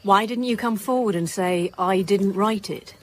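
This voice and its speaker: A woman's voice: mid-range voice, normal speed, slight background noise, close to an everyday voice. A British woman detective inspector as an actress plays her in a naturalistic radio drama. She questions with dry, probing insistence and a sceptical edge. A faint ambience sits behind her voice. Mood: sceptical, insistent. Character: brisk, sceptical female police detective inspector.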